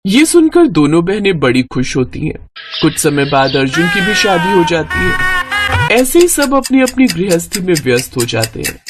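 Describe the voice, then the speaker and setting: A woman reading a scripted moral story as an expressive narrator. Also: sits low.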